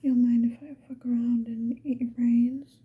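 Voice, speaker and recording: A woman whispering casually and playfully to the viewer in a chatty ASMR get-ready-with-me style, mostly in a breathy, unvoiced whisper. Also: a little high, little pitch movement, normal speed, narrow, tinny audio. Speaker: ASMR video creator.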